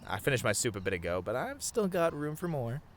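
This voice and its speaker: A man playing a sweet, expressive bashful boyfriend. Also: medium pitch, slight background noise, close to an everyday voice. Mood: fond, lighthearted. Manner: soft-hearted, halting, sighing, chuckling, gently earnest. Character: sweet, bashful young boyfriend, tender and adoring. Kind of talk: romantic ASMR roleplay monologue to the listener.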